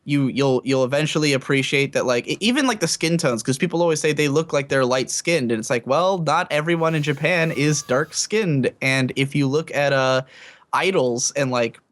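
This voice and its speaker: A man's voice: fairly high pitch, moderate pace, fairly bright tone. A man co-hosting a casual podcast, rambling with lots of restarts and fillers and laughing often. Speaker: podcast co-host. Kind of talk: casual group podcast chat.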